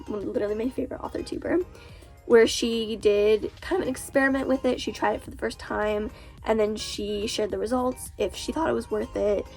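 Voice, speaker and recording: A woman's voice: a little high, darkish tone. A teenage girl with a cold, sounding stuffy and nasal, chatting casually as an author and booktuber and filling her talk with "like". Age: teens. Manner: chatty, lots of fillers like "like". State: congested, stuffy nose from a cold.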